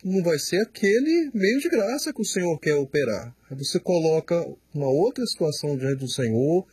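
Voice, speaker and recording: A man, a pastor, answering questions in a studio, slow and emphatic, often repeating words as he explains. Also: fairly high pitch, very animated, a little mellow, breathy edge, dry recording. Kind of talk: studio Q&A teaching.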